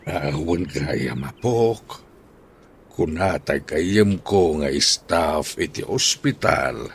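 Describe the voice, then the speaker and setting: An actor playing a doting, emotional old grandfather in a radio drama, with sighing, drawn-out speech. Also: a bass voice, animated, gravelly, husky, exaggerated voice. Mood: touched. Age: older.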